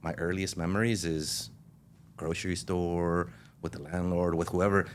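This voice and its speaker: A man born in Mexico, a guest in a casual interview, telling his story in a relaxed way and laughing easily. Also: low voice, normal speed, a little hiss or hum.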